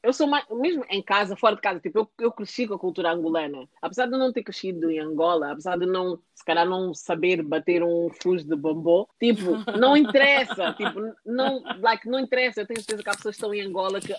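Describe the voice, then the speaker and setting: A woman's voice: medium pitch, expressive, fast, poor audio. A young adult woman in casual conversation, rambling and full of fillers, often restarting her sentences. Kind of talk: casual chat.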